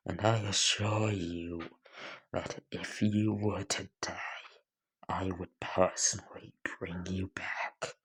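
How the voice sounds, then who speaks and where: A man acts out a bitter, menacing masked cyborg in a told story. The voice is low and husky, with slow, deliberate, deadpan delivery full of cold hatred. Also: exaggerated voice, poor audio. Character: bitter vengeful young man turned giant masked cyborg, coldly menacing.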